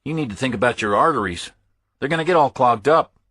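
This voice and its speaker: An outspoken young son, acted by a male narrator speaks up at the family table in an audiobook. The pitch is lifted into a lighter register, and the sound is dry and close. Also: animated, fast talker, a bit bright, no room sound. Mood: concerned, chiding. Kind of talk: audiobook character dialogue.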